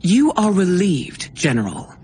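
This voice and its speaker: An actress playing a proud, wrathful fantasy empress in a game, speaking in an imperious, formal, theatrical way. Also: female voice, on the low side, very animated, unhurried, background noise. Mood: stern, wrathful. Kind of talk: dramatic video game cutscene acting. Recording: dramatic music behind the voice.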